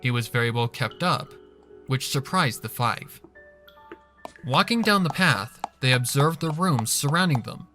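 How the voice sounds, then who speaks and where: A man's voice: medium pitch, expressive, a little slow, pushed and bright. A man reading a fan fiction story aloud as scripted narration. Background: music.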